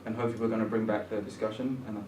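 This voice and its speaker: A man's voice: on the low side, little pitch movement, racing, darkish tone, a little hiss or hum. A man, born in England and partly raised in Ghana, speaking as a guest in a conversation, searching for words with many ums and restarts. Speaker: guest programmer. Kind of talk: conversational discussion. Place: room at a public talk event. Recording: roomy, distant mic, faint ambience.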